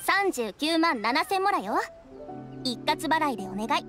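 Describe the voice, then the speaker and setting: High-voiced shrewd young detective woman. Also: sing-song, unhurried, a bit bright, faint music behind, heavily acted. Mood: cool, businesslike. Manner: confident, businesslike. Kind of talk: anime game dialogue.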